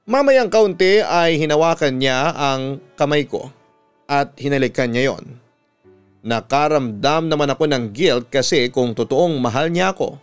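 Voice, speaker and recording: Smooth filipino guy telling a dramatic story radio style, music playing behind him. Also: medium pitch. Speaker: radio announcer and story narrator. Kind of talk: dramatic first-person story narration. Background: background music.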